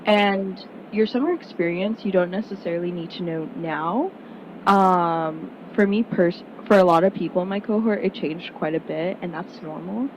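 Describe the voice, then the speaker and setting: A young woman from Miami giving an earnest, conversational talk to prospective students, full of "like" and "um". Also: normal speed. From Miami, United States. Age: young adult. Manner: earnest, conversational, frequent "like" and "um" fillers. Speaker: student speaker at an information session. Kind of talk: casual presentation to prospective students. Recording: muffled sound with steady rumble noise.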